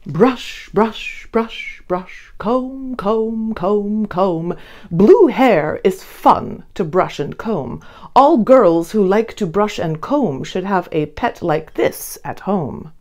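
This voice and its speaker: Animated, unhurried woman reading to children. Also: mid-range voice. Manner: animated, emphatic, addressing children directly. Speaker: children's read-along host. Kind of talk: expressive picture-book reading and talking to young children. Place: indoor room, seated before a plain blue wall.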